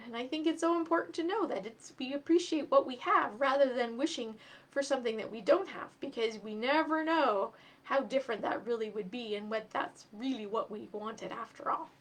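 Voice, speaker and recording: A woman hosting a children's storytime, expressive and speaking directly to young listeners as she reads and explains step by step. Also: fairly high pitch, a little fast. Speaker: storytime host and reader for children. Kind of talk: animated storybook reading and craft instruction for children.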